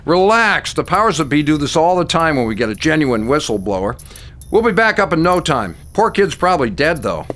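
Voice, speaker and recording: A man's voice: fairly high pitch, sing-song, brisk, fairly bright tone. A man playing a seasoned older monster hunter in an audio drama, folksy, teasing and commanding. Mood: calm, dismissive. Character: gruff, seasoned old male monster hunter, sardonic and bossy.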